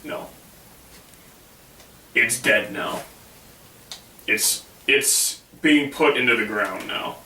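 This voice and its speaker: A hoarse man with a bright tone delivers blunt, dismissive takes on a casual gaming podcast. He is a little off-mic in an echoey room. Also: mid-range voice, extremely slow, long pauses, recorded from a distance, a little hiss or hum. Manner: blunt and dismissive, reads news aloud. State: hoarse, losing his voice. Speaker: podcast co-host.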